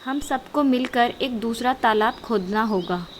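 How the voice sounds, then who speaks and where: A clever female fox adviser from a children's cartoon, read by a woman in a fairly high voice. She explains respectfully and helpfully, over faint background noise. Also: a little slow, lightly acted. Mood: earnest, advising. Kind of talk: children's cartoon story dialogue.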